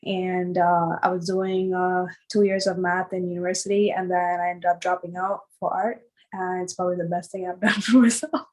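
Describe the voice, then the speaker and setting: A woman's voice: on the low side, flat delivery, normal speed, darkish tone. A woman in her twenties from Sri Lanka, answering interview questions casually with many fillers. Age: 20s. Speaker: guest artist.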